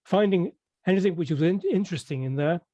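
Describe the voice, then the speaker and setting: Older Englishman, mellow and dry-toned. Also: high-pitched, dry recording, dull audio. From Merseyside, England. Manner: measured, hesitant with many fillers and restarts. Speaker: interview guest. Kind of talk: conversational interview.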